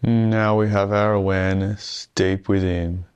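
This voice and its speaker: A man leading a guided meditation in a calm, soothing, measured way with long pauses. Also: deep voice, clean tone, dull audio.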